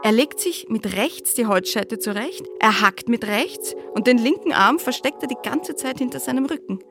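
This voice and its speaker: An actress playing a reflective psychoanalyst in a radio drama, talking conversationally and asking herself questions. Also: female voice, on the high side, lively intonation, normal speed. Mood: musing, bemused. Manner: conversational, pondering, rhetorical questions. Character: reflective female psychoanalyst, musing wryly on history. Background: music.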